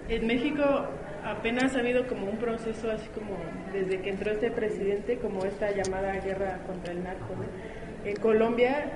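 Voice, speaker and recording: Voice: medium pitch, steady tone, normal speed. An interviewer asking tentative, hedging questions. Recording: echoey room with noise.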